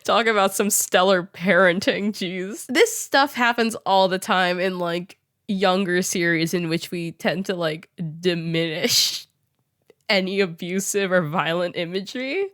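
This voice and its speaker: A woman's voice: fairly low pitch, measured pace, fairly bright tone. A woman interviewing a guest in a casual, rambling and giggly way, reacting openly to what she hears. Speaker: host, interviewer.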